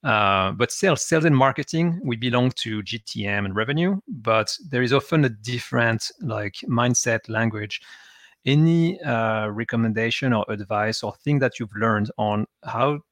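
A man from France with a French accent, hosting a podcast and asking questions in a halting way, often repeating words. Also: medium pitch, a bit bright, no room sound. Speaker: podcast host. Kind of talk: casual interview talk.

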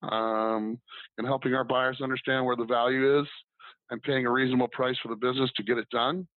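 A man's voice: medium pitch, flat delivery, fairly quick, no echo. A man who is a guest on a business podcast, rambling casually with lots of ums and restarts. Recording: dry tinny phone-like line.

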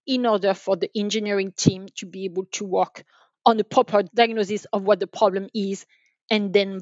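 A woman's voice: mid-range voice, no room sound. Woman guest, slightly muffled dry sound. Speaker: guest, enterprise sales manager. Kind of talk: interview talk, explaining from experience.

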